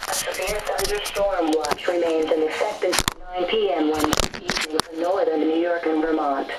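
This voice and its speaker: A woman's automated announcer voice reads out an emergency weather warning. She sounds formal and impersonal, with a slightly low pitch. The weather radio recording is swamped with noise. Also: fairly even intonation, moderate pace. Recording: noisy weather radio picked up in a room.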